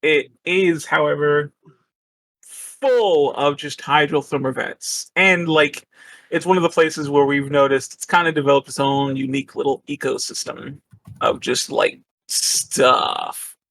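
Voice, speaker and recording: A man runs a tabletop horror game as game master, laying out the setting in a loose, rambling way with plenty of fillers. His voice sits a little high. It is recorded close and dry, with no room sound. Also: unhurried, fairly bright tone, dry recording. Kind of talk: casual tabletop game narration.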